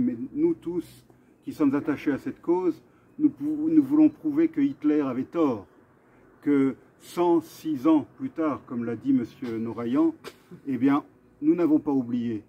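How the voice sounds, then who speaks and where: Male host, husky, earnest and deliberate with halting delivery; muffled lo-fi recording. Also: mid-range voice. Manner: deliberate, with halting pauses and repetitions. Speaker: video host and association vice-president. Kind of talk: earnest commemorative address to camera. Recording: dull, muffled lo-fi sound.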